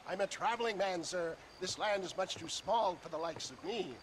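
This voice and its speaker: A man's voice: high voice, normal speed, lightly acted. An actor playing a grandiloquent, genial old snake oil salesman in a video game, speaking in florid phrases. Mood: pompous, jovial. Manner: pompous, florid. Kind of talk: video game cutscene acting. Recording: clear outdoor noise behind voice.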